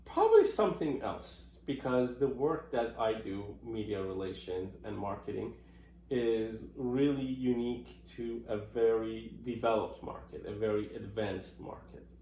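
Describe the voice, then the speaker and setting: A middle-aged man from Iran answering interview questions in a thoughtful, unhurried way, with many fillers and restarts. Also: fairly low pitch, animated, darkish tone, a little hiss or hum. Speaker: interviewee, marketing professional. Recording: roomy echoey room.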